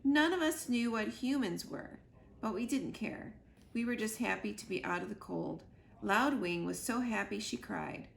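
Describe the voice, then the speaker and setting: A woman's voice: mid-range voice, animated, normal speed, gravelly, a little hiss or hum. A woman reading a children's book aloud as a young goose earnestly telling his mother about his adventures. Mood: wide-eyed wonder.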